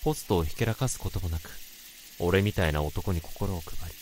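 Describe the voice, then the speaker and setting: A man's voice: deep voice, brisk, a little mellow, a little hiss or hum, lightly acted. An actor narrating and playing a troubled male office worker in a radio drama, brooding inner monologue and guarded dialogue. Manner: inner monologue that swings into frantic outbursts. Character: tormented male office worker who hears others' thoughts. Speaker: narrator and protagonist.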